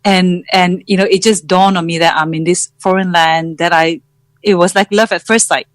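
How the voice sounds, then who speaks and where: A woman's voice: fairly low pitch, steady tone, moderate pace, dry recording. A middle-aged woman from Malaysia, a podcast guest telling her story reflectively and searching for her words. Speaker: podcast guest, founder and coach. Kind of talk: reflective personal interview talk.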